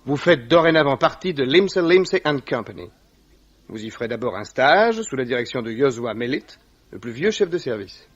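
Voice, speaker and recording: Well-off loyal young friend, formal radio-play delivery; mid-range voice, dull vintage recording. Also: male voice, medium pitch, big pitch swings, normal speed. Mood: firm, encouraging. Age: young adult. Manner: formal, earnest. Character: rich, loyal, earnest young heir consoling his friend. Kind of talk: 1950s French radio drama acting.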